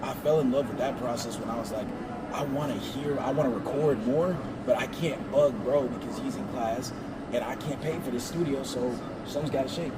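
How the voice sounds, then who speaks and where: A man's voice: medium pitch, brisk. A young man telling stories in a casual, slangy radio interview, often saying "you know.". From Ambazonia, Cameroon. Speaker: interview guest, musician and audio engineer. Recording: distant mic, loud street and traffic noise.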